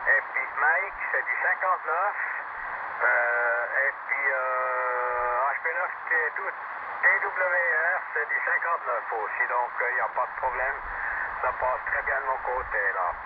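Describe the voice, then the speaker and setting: A man chatting casually as an amateur radio operator over shortwave, in an easygoing, halting way full of tag words. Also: mid-range voice. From Switzerland. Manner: easygoing, halting, frequent "quoi, hein" tags. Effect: shortwave radio transmission. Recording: narrow shortwave radio signal, very loud static.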